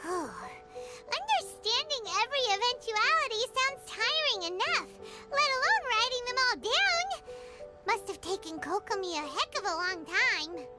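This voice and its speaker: A woman's voice: very high voice, big pitch swings, a little slow, loud music behind the voice, full character voice. A woman voicing a small floating fairy companion who is chirpy and curious and talks about herself in the third person. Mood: chatty.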